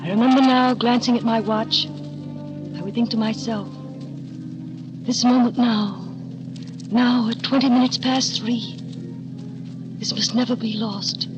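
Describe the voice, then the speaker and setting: An actress in a 1940s radio drama playing a shy nineteen-year-old companion, soft-spoken and wistful, narrating her memories. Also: on the high side, fairly even intonation, a little slow, long pauses. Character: shy young woman of nineteen, paid companion. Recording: dull old radio recording with music.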